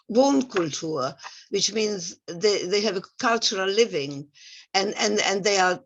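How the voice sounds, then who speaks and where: A woman in her eighties from Hungary with a Hungarian accent, giving personal testimony in a reflective, halting way, repeating words for stress. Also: medium pitch. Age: 80s. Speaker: Holocaust survivor giving testimony. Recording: dull, muffled lo-fi sound.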